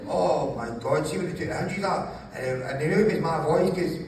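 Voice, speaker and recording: Gravelly man, animated interview guest, higher-pitched, recorded distantly in a very echoey room. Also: fairly quick. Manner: sweary, jokey, apologises for swearing. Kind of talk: animated casual storytelling. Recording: very echoey room, distant mic, faint noise.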